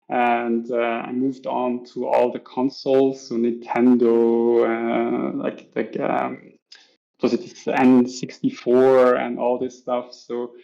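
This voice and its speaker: A man's voice: medium pitch, vocal fry, mellow, highs cut off. A man from Switzerland with a Swiss German accent, chatting as a podcast guest and acting out little scenes from his stories. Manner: chatty, acts out voices in stories. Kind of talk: casual podcast interview.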